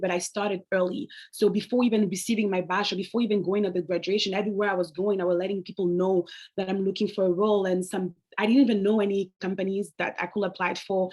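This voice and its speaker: A woman's voice: mid-range voice, a little mellow. A young woman whose first language is French, speaking English on an online alumni panel, giving direct career advice with some slips and restarts.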